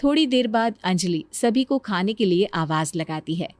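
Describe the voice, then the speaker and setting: A woman's voice: medium pitch, lively intonation, normal speed, dry recording. A woman reading scripted narration for a family moral story.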